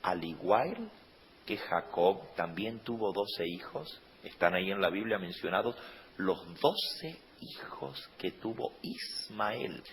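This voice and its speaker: Slow-preaching man in an echoing hall. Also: low voice, animated, slight background noise. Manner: rising to loud emphatic peaks, asking the congregation questions. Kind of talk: sermon. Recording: reverberant hall.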